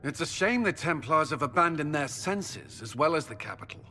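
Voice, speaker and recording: A man's voice: on the high side, very animated, normal speed, pushed and bright, long reverb tail, a little hiss or hum. A man playing an earnest, dutiful military commander in a fantasy video game, speaking formally. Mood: earnest, firm.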